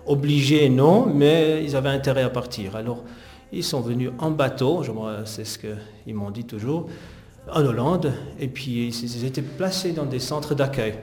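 A man's voice: medium pitch, moderate pace, darkish tone. Hesitant middle-aged man, roomy sound. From Netherlands. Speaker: interviewed physiotherapist. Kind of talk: conversational interview answers recounting personal history.

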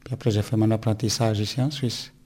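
A man in his sixties, born in Italy, answering interview questions in a plain, halting way with many fillers. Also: lowish voice, level tone, a little mellow.

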